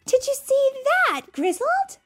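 A woman reading aloud and voicing an excitable young female police detective, eager and gushing. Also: sits high, a little slow, faint music behind, pitched above the performer's own voice, heavily acted. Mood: excited.

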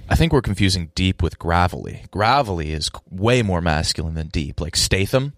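Very deep-voiced blunt man. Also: expressive, brisk, no room sound, studio quality. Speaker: podcast co-host. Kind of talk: casual group chat.

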